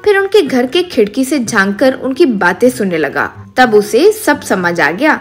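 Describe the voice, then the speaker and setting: Woman narrator reading a kids style story, high voice, light music behind. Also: big pitch swings, a little slow, breathy edge.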